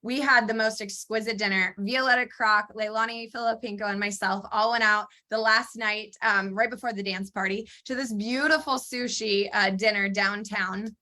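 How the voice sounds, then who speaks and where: A woman co-hosting a podcast in casual chat, talking excitedly and laughing often, her voice a little strained as she recovers from laryngitis. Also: medium pitch, moderate pace, hardly any pauses. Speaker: podcast co-host.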